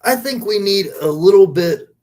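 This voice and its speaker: Higher-voiced man hosting a casual chat. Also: animated, normal speed, darkish tone. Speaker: podcast host.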